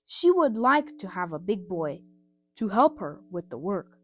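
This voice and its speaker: A woman reading a scripted children's story aloud in an expressive, simple style, with music behind her. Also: mid-range voice, unhurried. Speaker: storybook reader.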